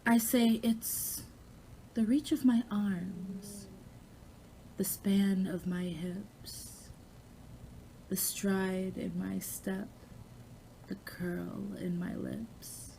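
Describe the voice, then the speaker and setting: Mellow, unhurried woman performing poetry. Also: medium pitch, fairly even intonation, creaky, slight background noise, low-quality recording. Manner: sassy, self-assured, theatrical. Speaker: poetry reader, actor.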